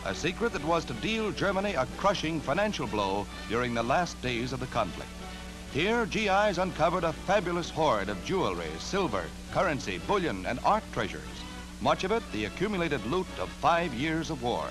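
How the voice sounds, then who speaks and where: A man's voice: a little high, big pitch swings, normal speed, rough recording. An American man narrating a scripted 1940s newsreel in a dramatic, declamatory manner, on an archival soundtrack. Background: loud orchestral newsreel music.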